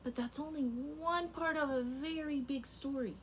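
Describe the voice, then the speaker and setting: A young woman teacher reading a picture book aloud to kindergarten children, very expressive, her loudness rising and falling sharply. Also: high voice, moderate pace, slight background noise. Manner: expressive and animated, with big swings in loudness. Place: home room in front of a rainbow feather backdrop.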